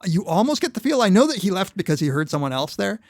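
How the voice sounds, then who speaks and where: Podcast co-host, male, animated talker, bit of fry, dry studio sound. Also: high voice, quick pace, dry recording. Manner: animated, laughs often, recounts scenes. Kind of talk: casual film discussion.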